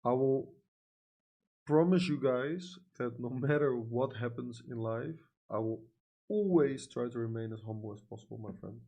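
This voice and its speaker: A young Dutch-accented man talks through a casual livestream in a gravelly, fry-laden voice at a middle pitch. He leans on fillers like "you know" and "like" and turns blunt and profane without warning. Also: moderate pace, dark tone, poor audio. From Netherlands. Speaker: livestream trader. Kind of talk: casual livestream chat reading comments.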